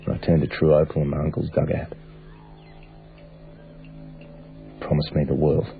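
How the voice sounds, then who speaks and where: A man's voice: rumbling low, creaky. A male actor with an Australian accent playing a wistful, easygoing young traveller from opal country in a radio drama, speaking reflectively and colloquially. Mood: dreamy, awed. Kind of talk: naturalistic radio drama acting. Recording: dull, phone-like band, faint music.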